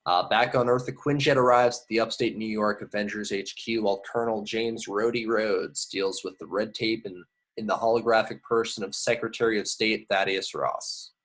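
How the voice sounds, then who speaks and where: A man with a fairly low voice narrates stage directions. It is a scripted read, delivered as part of an amateur table read. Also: steady tone, moderate pace, a bit bright, rough recording.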